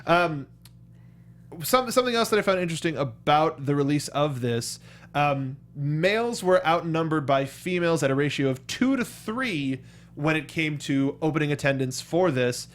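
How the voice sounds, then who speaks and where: A man's voice: on the high side, animated, moderate pace. A man co-hosting a talk show in an enthusiastic, slangy, casual chat about games and films.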